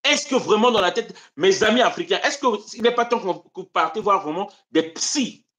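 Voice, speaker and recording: A man from Congo-Brazzaville hosting a live stream of political commentary. He declaims with passion, then drops into low muttered asides to his viewers. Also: expressive, brisk. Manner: impassioned, rhetorical, swings between loud declaiming and low muttered asides. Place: home in Europe.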